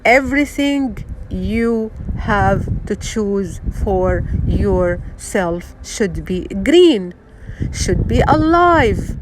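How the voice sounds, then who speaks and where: Middle-aged syrian woman talking real slow about healthy living, lots of uh and restarts, earnest. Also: fairly high pitch, noisy background. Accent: Syrian Arabic. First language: Arabic. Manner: halting, many fillers and restarts, earnest. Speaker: wellness vlogger. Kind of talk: unscripted motivational talk to viewers.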